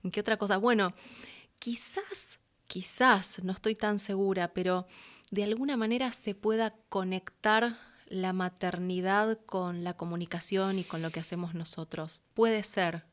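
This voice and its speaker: Expressive Argentine woman in casual conversation. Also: mid-range voice, a little slow. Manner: expressive, acts out little quotes as she explains. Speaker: interview guest, voice actress. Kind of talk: casual reflective interview conversation.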